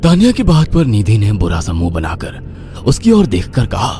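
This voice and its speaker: A man's voice: lowish voice, huge pitch swings, normal speed, mellow, slight background noise. A man narrating a horror cartoon story in a dramatic, theatrical way. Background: background music.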